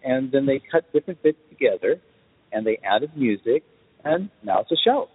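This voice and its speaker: Husky guy explaining stuff on a podcast, deliberate and emphatic, sounds like a phone with long reverb. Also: mid-range voice, sing-song, phone-quality sound. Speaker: guest film composer.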